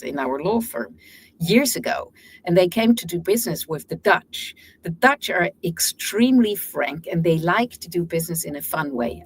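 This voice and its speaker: A woman's voice: fairly low pitch, slight background noise. A woman whose first language is German, a guest lawyer telling frank workplace stories in a casual interview, with some restarts.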